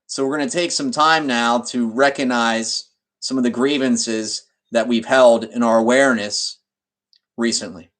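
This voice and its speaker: Slow-paced man leading a guided meditation. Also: mid-range voice, fairly even intonation, a bit bright. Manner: deliberate, pausing mid-sentence between short phrases.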